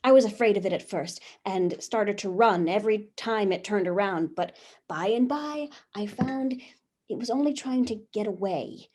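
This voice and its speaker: A woman's voice: medium pitch, moderate pace, darkish tone, close to an everyday voice. A woman playing Eve, a newly made, curious and talkative first woman, reading her diary in a wondering, chatty way over a video call. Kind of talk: stage reading of a comic play.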